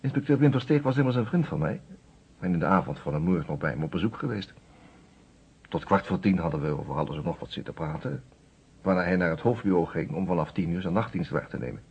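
An actor playing a genial, reflective lawyer who narrates a murder case in a radio drama, conversational and measured, with a husky voice on an old recording. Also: male voice, deep voice, lively intonation, muffled recording, heavily acted. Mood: chatty, explaining.

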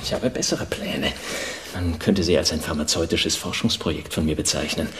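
An actor playing an arrogant, callous villainous doctor in a crime radio play, smug and contemptuous in a cold way. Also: male voice, very deep voice, steady tone, moderate pace. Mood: smug, menacing. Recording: roomy echo, faint noise.

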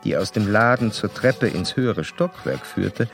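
An actor playing a composed, probing doctor in a radio play, reporting formally and clinically. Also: male voice, on the low side, unhurried, creaky, soft background music. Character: composed, probing male doctor narrating a case study.

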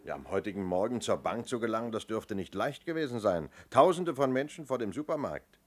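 An actor playing a methodical police inspector in a 1970s radio play, measured and probing, with small hesitant lead-ins to his questions. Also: fairly low pitch, animated. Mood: sceptical, pointed. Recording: noisy old radio play recording.

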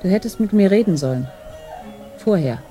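A woman's voice: mid-range voice, expressive, moderate pace, dark tone, soft background music, natural voice. A woman playing an astronaut's worried, exasperated partner in a German radio play, earnest in manner. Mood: reproachful, upset.

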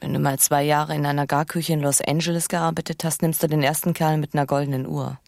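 Low-voiced bitter, passionate young wife. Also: female voice, flat delivery, fast, vocal fry, a little mellow, dry recording. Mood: bitter, weary. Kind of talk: naturalistic radio drama acting.

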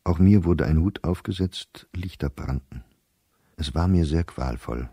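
Radio play: embittered, anxious diarist professor, measured delivery, dark mellow tone, close dry sound. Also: male voice, extremely low pitch, husky, no room sound, heavily acted. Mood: bitter, weary. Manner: measured, weary, dropping to near-whisper at grim thoughts. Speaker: diarist narrator. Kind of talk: literary radio play monologue, diary reading.

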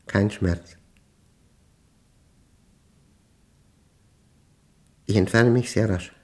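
An actor in a German radio play, playing a troubled, methodical professor who dictates a diary in deliberate fragments. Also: male voice, lowish voice, warm tone. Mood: hushed, entranced. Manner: deliberate, fragmented phrasing, precise, pedantic. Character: troubled methodical male professor recording his nightmares as a diary.

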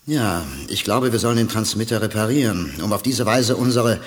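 A man's voice: on the low side, in a large hall, natural voice. An actor playing a blunt, worried male crewmate on a space expedition in a 1980s radio play. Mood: curious. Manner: blunt, worried, quick-tongued. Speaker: Reginald Bull, companion.

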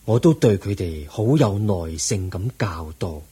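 Aged Buddha, deep, slow and husky. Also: male voice, lively intonation, dark tone, full character voice. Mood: calm, solemn. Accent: Hong Kong Cantonese. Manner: solemn, measured teaching delivery. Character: aged Buddha, serene, patient teacher nearing death. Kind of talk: Buddhist radio drama acting.

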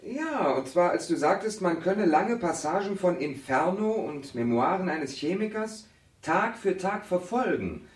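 An actor playing a keen male student in a radio drama seminar, explaining ideas in an animated way. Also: high-pitched, a little slow. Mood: intrigued. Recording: roomy, slightly distant studio acoustic.

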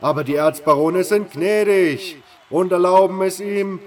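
A man playing a merciless arena announcer in a fantasy audio drama, proclaiming in a drawn-out, booming way. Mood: gloating proclamation. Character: loud male arena announcer of a prison camp, merciless.

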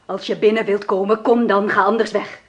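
A woman's voice: medium pitch, level tone, fairly quick, dull audio, natural voice. An actress playing a young farm woman receiving her former lover at night, cold, wary and reproachful. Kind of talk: radio play acting.

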